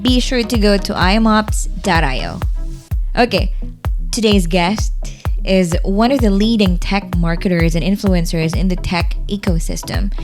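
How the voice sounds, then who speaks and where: A woman hosts a podcast, reading her introduction at a steady, measured pace. Her voice is at an ordinary middle pitch. Faint music runs underneath. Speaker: podcast host.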